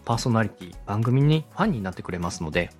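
A man with a lively, swinging intonation hosts an instructional podcast. He explains politely and conversationally, addressing the listener directly, with music playing behind him. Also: lowish voice, quick pace, slightly rough. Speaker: podcast host and radio director giving advice. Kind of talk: explanatory podcast teaching with acted example questions. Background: background music, faint.

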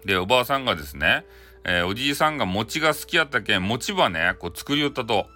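A man's voice: mid-range voice, sing-song, fast talker, bright, forceful tone, soft background music. A man with a Hakata accent telling a bedtime folktale in a casual, chatty, colloquial way. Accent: Hakata, Japan. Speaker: storyteller.

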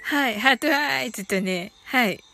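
Casting: woman livestream host, high-pitched and giggly, informal English-phrase teacher, music underneath. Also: lively intonation, moderate pace, vocal fry, bright, forceful tone. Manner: giggly, often laughing as she talks. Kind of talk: casual chatty livestream, reading listeners' comments.

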